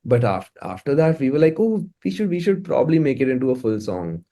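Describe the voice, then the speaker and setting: A man's voice: mid-range voice, sing-song, fairly quick, warm tone. A man with an Indian accent chatting casually in an interview as a musician, often repeating words as he forms his thoughts. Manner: informal, stammering repetition of words as thoughts form.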